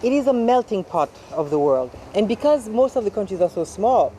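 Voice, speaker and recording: A Haitian-born woman speaking earnestly in an interview, stressing key words and slowing down on them. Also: mid-range voice, animated, dark tone, a little hiss or hum. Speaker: founder of a cultural society. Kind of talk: interview answers.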